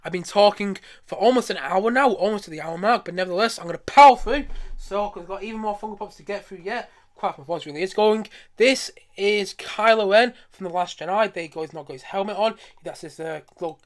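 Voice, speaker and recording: Casting: male live streamer, high-pitched voice, quick delivery, enthusiastic and rambling, casual. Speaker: streamer showing a collection.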